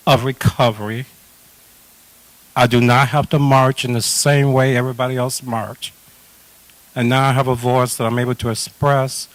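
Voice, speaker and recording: Measured man speaking firmly on recovery. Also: mid-range voice, no room sound, rough recording. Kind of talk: firm personal testimony.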